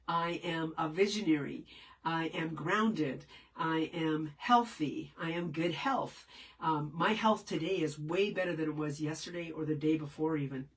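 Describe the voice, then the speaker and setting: A woman's voice: deep voice, far from the mic. A woman with a head cold, answering viewer questions as a spiritual teacher in a casual, meandering live session. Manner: meandering, many fillers and restarts.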